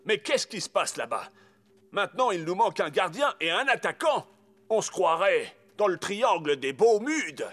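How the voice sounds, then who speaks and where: A man's voice: high-pitched, big pitch swings, moderate pace, fairly bright tone, heavily acted. An actor in a French cartoon dub playing a worried male football coach with a fretful, questioning manner. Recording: music behind.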